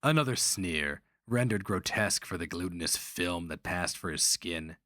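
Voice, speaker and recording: A man's voice: low-pitched, animated, normal speed, sharp tone, no room sound, studio quality. A man giving a grave, theatrical reading of literary fantasy as the story's narrator.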